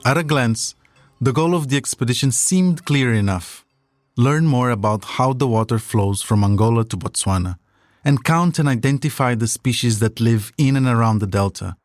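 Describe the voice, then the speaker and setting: A man's voice: medium pitch, moderate pace, dry recording, clean studio sound, soft background music. A man narrating a scripted audio documentary as its host.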